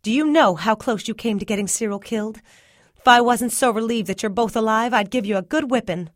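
A woman's voice: a little high, a little fast, no room sound, studio quality, pitched above the performer's own voice, lightly acted. A woman reading a story aloud and voicing a stern, worried mother who scolds her child. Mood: scolding. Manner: scolding, weary.

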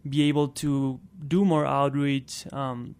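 A man's voice: mid-range voice, level tone, slow pace, darkish tone, smooth. A man from Argentina, a native Spanish speaker with an Argentine accent, talking as a guest in a casual radio interview. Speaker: interview guest, clean transportation programme worker.

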